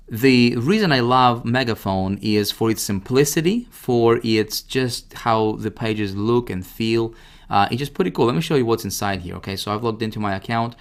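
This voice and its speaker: Low-voiced man giving a casual software walkthrough. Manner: informal, addresses the listener directly with frequent check-ins. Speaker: software tutorial presenter. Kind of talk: casual screen-share product walkthrough.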